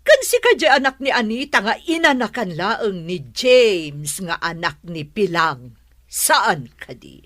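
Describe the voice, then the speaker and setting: A woman's voice: mid-range voice, very animated, measured pace, a bit bright, dry recording. A woman playing a stern, scornful middle-aged mother in an Ilocano audio drama. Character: stern, disapproving middle-aged mother, judgmental and sharp-tongued.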